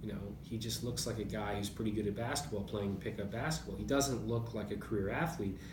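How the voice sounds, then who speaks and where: A man speaking as an interview guest in a thoughtful, measured, anecdotal way, telling stories conversationally about sport. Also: lowish voice, steady tone. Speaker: interview guest, boxing writer. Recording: roomy, distant mic, noticeable background noise.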